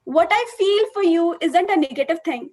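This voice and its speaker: A woman's voice: very high voice, expressive, moderate pace, a little mellow. A woman voicing a lovelorn woman confessing love to a friend, reading a film monologue aloud. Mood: tender, devoted. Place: online video call.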